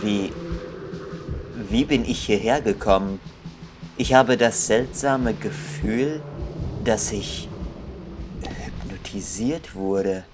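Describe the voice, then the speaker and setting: A man's voice: lowish voice, slow pace, long pauses, over music. A young man commenting casually on a game he plays, musing aloud and reading its text. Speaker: gaming video host.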